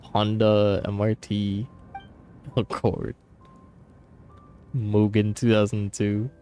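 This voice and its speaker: A man's voice: on the low side, completely flat delivery, takes it slowly, dark tone. A man doing casual, profanity-laced live game commentary and banter, with a blunt, teasing, slangy manner. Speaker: game streamer. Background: music.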